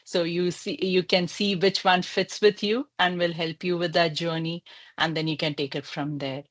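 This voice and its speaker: Woman, low voice, workshop speaker, encouraging, measured, some fillers. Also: level tone. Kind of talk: advice in an online workshop.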